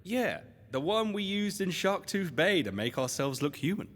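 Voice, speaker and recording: A man's voice: high voice, very animated, normal speed. A man playing a loyal, slightly anxious young adventurer's sidekick in an audio drama, earnest and questioning. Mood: puzzled, curious. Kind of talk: scripted audio drama dialogue. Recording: long reverb, music behind.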